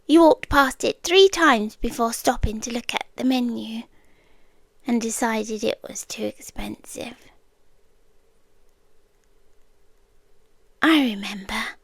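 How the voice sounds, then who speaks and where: Slow, hushed British yandere girlfriend, acted by a woman. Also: a little high, no room sound, studio quality. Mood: coaxing, unsettling. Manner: hushed, deliberate, softly menacing, tender. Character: soft-spoken obsessive girlfriend stalker, calm, coolly intense, yandere. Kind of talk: intimate girlfriend roleplay ASMR, half whispered.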